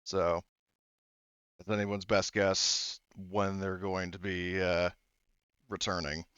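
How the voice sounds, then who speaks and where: A man working as game master, narrating a tabletop game off the cuff in a halting, rambling, self-correcting way. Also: sits low, little pitch movement, a bit bright, clean tone, no echo, dull audio.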